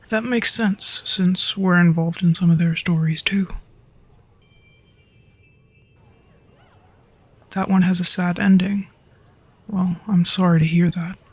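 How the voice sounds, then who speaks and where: Performer of unclear sex as a soft, creaky-voiced dragon storyteller. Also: mid-range voice, no room sound. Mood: playful, teasing. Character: flirty, easily flustered dragon storyteller. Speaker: storyteller in an audio roleplay. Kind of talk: ASMR roleplay, soft storytelling.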